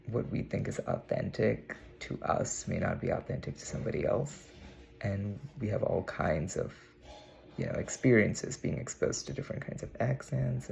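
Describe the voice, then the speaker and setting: A man's voice: fairly low pitch, vocal fry, darkish tone. A young man from India, speaking with a put-on American accent in a casual, meandering vlog monologue to camera. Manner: musing, meandering, with frequent "you know". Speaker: vlogger. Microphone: camera mic, talking to camera.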